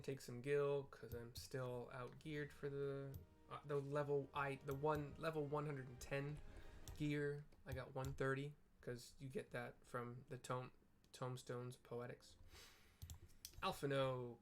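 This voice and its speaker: A man's voice: medium pitch, little pitch movement, unhurried. A man narrating a video game as he plays, moving between reading aloud and frank, casual commentary with some swearing and stumbles. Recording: clear background noise.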